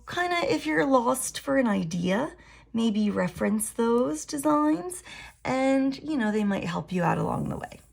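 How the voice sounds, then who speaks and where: Woman art teacher, raspy with gravelly fry, relaxed live drawing demo narration. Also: medium pitch. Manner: relaxed, thinking aloud while drawing.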